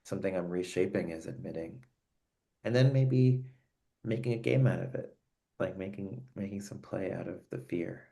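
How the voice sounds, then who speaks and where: A man hosting a reflective conversation over a video call, speaking in an earnest, searching and halting way. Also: sits low, gravelly, dark tone. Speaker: host.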